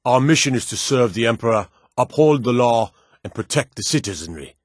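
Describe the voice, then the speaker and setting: An actor playing a gruff, proud Nordic warrior and guard in a fantasy video game, speaking in a firm, emphatic, declamatory way. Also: male voice, mid-range voice, expressive, a little fast, no room sound, studio quality, natural voice. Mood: dutiful, weary. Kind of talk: dramatic video game dialogue.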